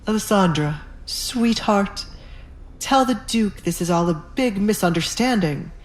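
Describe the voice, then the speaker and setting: A woman's voice: medium pitch, expressive, unhurried, lightly acted, poor audio. Woman acting out a desperate, pleading cowardly baron. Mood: wheedling. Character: cowardly pleading baron, a disgraced middle-aged father. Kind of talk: audio drama narration with acted dialogue.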